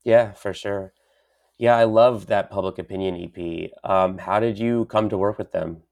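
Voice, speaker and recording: A man hosting an interview, chatting in a relaxed, enthusiastic way and often saying "like". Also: on the low side, normal speed, vocal fry. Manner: enthusiastic, chatty, uses "like" a lot. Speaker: podcast host. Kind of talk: casual interview chat.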